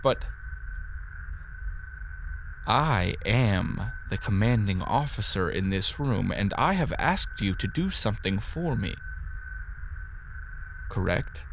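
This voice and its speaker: An actor playing a stern, controlled commanding officer in an audio drama, condescending and clipped, with heavy pauses. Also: male voice, lowish voice, animated, creaky, a little hiss or hum, full character voice. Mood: stern, impatient.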